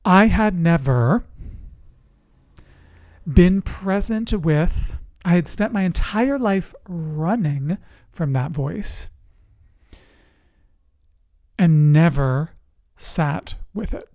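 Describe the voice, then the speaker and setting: A resilience coach telling personal stories in a motivational talk, speaking earnestly and deliberately in short phrases with pauses. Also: mid-range voice, expressive, a little mellow, no echo, clean studio sound. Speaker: host, emotional resilience coach.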